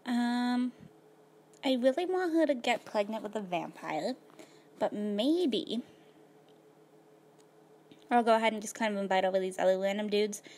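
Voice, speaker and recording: A woman of middle pitch talks her way through a life-simulation game in an easygoing, rambling manner, full of "um" and "like". Her voice is a little hoarse, as if she is losing it. She sounds close to a desktop mic. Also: animated, a little slow, long pauses, a little mellow. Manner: rambling, filler-heavy, laid-back. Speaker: gaming video host. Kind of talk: casual gameplay commentary.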